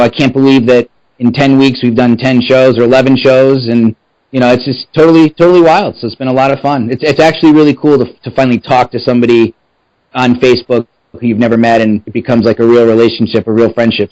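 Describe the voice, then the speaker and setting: A man in his thirties, co-hosting a podcast from his house. He speaks earnestly and a little haltingly, repeating words as he finds them, on dull lo-fi audio. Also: mid-range voice, steady tone, darkish tone. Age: 30s. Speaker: podcast co-host. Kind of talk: casual interview chat.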